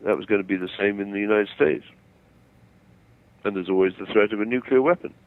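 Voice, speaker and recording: A man's voice: on the low side, fairly quick. Older man on a tinny phone line. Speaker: phone-in guest. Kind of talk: conversational explanation and opinion over the phone. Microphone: telephone. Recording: tinny narrow-band phone call.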